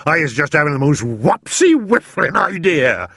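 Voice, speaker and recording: A man voicing a big, kindly, excitable male giant who mangles his words. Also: fairly high pitch, normal speed, gravelly, husky, no room sound, clean studio sound, pitched above the performer's own voice, exaggerated voice. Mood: chatty. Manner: jumbled words, broad rustic lilt.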